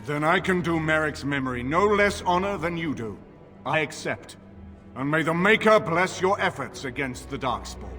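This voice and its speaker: A man's voice: fairly high pitch, lively intonation, moderate pace, pushed and bright. A man playing a stern, dignified nobleman who presides over an assembly, speaking formally and with command in a fantasy game. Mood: solemn. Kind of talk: dramatic game cutscene dialogue. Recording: long hall reverb, music underneath.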